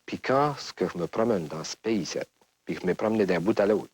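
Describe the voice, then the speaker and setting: Male musician, interview bite, very low husky voice. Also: expressive, fairly quick, darkish tone. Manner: rambling, reflective. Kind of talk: interview clip.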